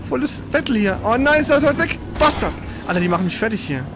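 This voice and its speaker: An excitable gamer commentates casually on their own play, with pitch that jumps around a lot. The language is colloquial, with exclamations and mild swearing. There is clear noise behind the voice. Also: quick pace.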